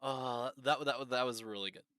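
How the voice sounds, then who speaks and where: A man hosting a reaction video with casual unscripted commentary, halting and repeating his phrases. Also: medium pitch, fairly bright tone, smooth.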